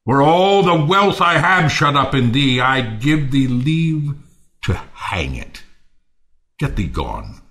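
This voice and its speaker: Bitter fallen athenian lord, shakespeare radio play, slow and theatrical, really scornful. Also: male voice, medium pitch, very animated, a bit bright, full character voice. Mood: contemptuous. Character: bitter, ruined Athenian nobleman turned misanthrope. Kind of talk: Shakespearean audio play acting.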